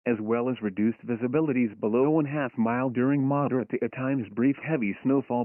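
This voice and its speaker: A synthetic male voice reading a scripted emergency weather bulletin in a formal, impersonal, measured way. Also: medium pitch, no echo. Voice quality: synthetic text-to-speech voice. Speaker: automated weather alert announcer. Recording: tinny phone-like weather radio broadcast.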